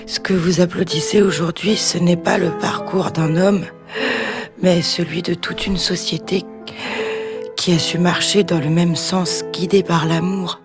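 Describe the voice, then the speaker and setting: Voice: medium pitch, lightly acted. A performer voicing a solemn, earnest cartoon pupil giving an oratorical speech in a comedy sketch. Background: music.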